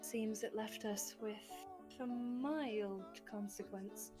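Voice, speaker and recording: Woman acting a gentle, coy young bride. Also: medium pitch, fairly even intonation, slow pace, over music, natural voice. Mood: coy, happy. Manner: formal, coy old-fashioned phrasing. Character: loving young bride, gentle, coyly happy. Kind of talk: romantic ASMR roleplay acting.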